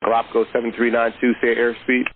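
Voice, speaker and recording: A man's voice: mid-range voice, completely flat delivery, fast talker, phone-quality sound. A man working as an air traffic controller, giving clipped instructions in aviation phraseology over the radio. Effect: radio transmission.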